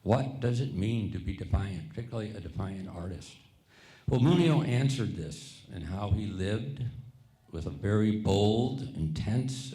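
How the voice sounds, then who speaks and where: Man, low mellow voice, earnest deliberate talk, occasional stumbles, echoey room. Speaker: master printer and panelist. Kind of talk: prepared panel talk. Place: museum event room before an audience.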